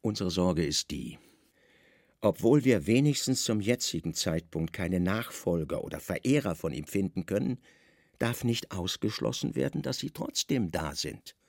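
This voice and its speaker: An actor playing a stern, scheming Roman governor in a radio play, imperious and measured, with insinuating undertones. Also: male voice, low-pitched, animated, vocal fry, darkish tone, no echo, clean studio sound, exaggerated voice. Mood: commanding. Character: stern, commanding Roman governor, slyly plotting, middle-aged man.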